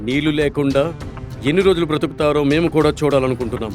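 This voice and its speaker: A man voicing a ruthless, domineering lion king who speaks imperiously in an animated story. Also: on the high side, fast, soft background music, natural voice. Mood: scheming.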